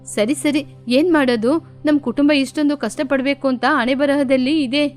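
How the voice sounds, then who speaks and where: A woman's voice: high voice, fairly even intonation, moderate pace, close to an everyday voice. A woman voicing a stern but caring female bird mother who scolds her daughters. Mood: resigned. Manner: stern, firm. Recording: faint music behind.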